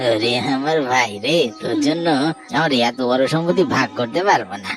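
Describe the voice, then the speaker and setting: A man's voice: medium pitch, a little fast, music in the background, lightly acted. A man voicing a greedy, cruel older brother in a Bengali horror cartoon, scornful and domineering. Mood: scornful, threatening.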